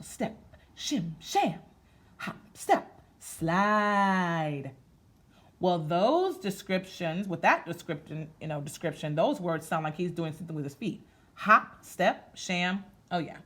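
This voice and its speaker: A woman reading aloud to children in an expressive, sing-song way, talking to them directly as she goes. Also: medium pitch. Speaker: children's story-time reader. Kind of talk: interactive read-aloud to children, part sung.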